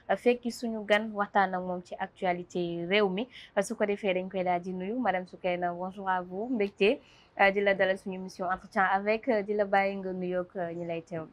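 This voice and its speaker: Woman host, formal n welcoming, lots of fillers and mhms, medium pitch. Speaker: interview host. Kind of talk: interview hosting mixing Wolof and French.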